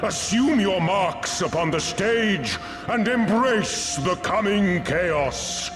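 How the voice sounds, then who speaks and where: A grandiose male deity for a fantasy game, revelling in battle. He declaims archaic lines extremely slowly with dramatic swells and dips. His voice echoes widely over music. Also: sits high, expressive. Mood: grand, ominous. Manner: slow, pompous, declamatory, archaic diction. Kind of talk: archaic theatrical game cutscene acting. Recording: echoing voice with music behind.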